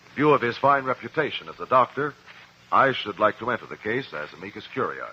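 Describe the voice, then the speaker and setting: An actor in a 1950s radio drama playing a principled cross-examiner, measured, articulate and pressing. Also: male voice, mid-range voice, big pitch swings, sharp tone, a little hiss or hum. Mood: probing. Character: probing, principled male courtroom cross-examiner. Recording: muffled vintage 1950s radio recording.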